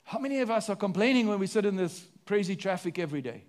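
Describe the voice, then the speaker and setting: A man with a South African accent delivers a sermon from the pulpit. His voice sits high for a man. He throws rhetorical questions at the congregation and moves between emphatic lines and quieter, hushed ones. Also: animated, fast. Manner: preaching with sweeping rises and drops, rhetorical questions. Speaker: pastor.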